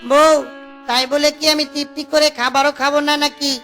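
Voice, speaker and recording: High-voiced simple poor fox husband acted by a woman. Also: flat delivery, a little fast, fairly bright tone. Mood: grumbling, plaintive. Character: poor, simple-minded, kind-hearted male fox auto-rickshaw driver. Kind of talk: children's cartoon voice acting. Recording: music behind the voice.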